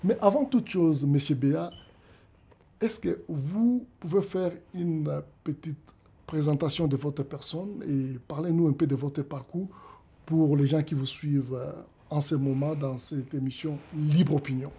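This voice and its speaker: A man's voice: a little high, unhurried, dark tone. A man hosting an interview show, with formal, emphatic introductions. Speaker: talk show host.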